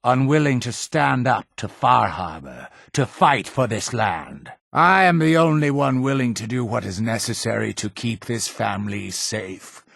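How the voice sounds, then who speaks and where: A man's voice: medium pitch, expressive, a little slow, creaky, hardly any pauses, dry recording, studio quality, full character voice. An actor playing a paranoid, imperious male cult leader in a video game, with a theatrical, preachy and menacing delivery. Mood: bitter, resentful. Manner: theatrical, preachy, menacing, swinging from hushed to shouting. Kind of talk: dramatic video game voice acting.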